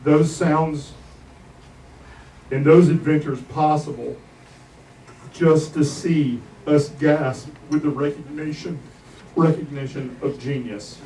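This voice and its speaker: A man delivers a eulogy in short, deliberate phrases, leaving dramatic pauses. His pace is slow and his mid-pitched voice is picked up from a distance, with some background noise of the venue. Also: steady tone, dark tone. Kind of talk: eulogy at a memorial gathering. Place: bar venue, captured from the audience. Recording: distant mic in a noisy bar.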